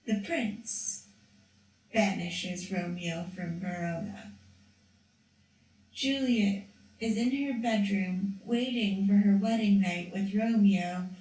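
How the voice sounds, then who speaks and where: A woman's voice: mid-range voice, darkish tone, far from the mic. A woman narrating a story for a school puppet show, reading carefully with a few restarts. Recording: echoey room, voice from off-mic.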